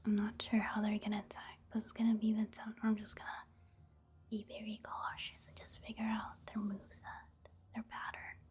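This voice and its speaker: Woman, hushed breathy whisper, mellow tone, casual ASMR gaming commentary, gentle delivery. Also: medium pitch, monotone, moderate pace. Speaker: gaming ASMR video host. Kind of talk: soft-spoken ASMR game commentary.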